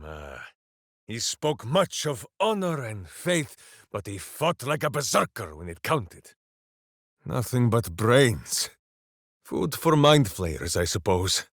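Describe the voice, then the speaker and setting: An actor playing a big-hearted, dim-witted heroic ranger in a video game, bombastic and earnest, speaking of himself in the third person. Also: male voice, medium pitch, creaky, dry recording, clean studio sound, full character voice. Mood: wistful. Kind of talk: video game character voice lines.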